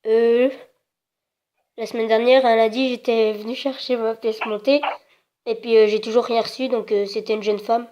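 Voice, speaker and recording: A woman's voice: a little high, flat delivery, moderate pace, dark tone. A woman making a prank phone call as a customer, hesitant and meekly insistent in her manner. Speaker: prank caller posing as a customer. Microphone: telephone. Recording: dull, band-limited phone line.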